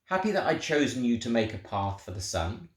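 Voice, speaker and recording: A man voicing a tender, proud God who slowly questions little creatures in a children's storybook. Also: medium pitch, big pitch swings, natural voice. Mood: tender questioning. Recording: roomy, echoing sound.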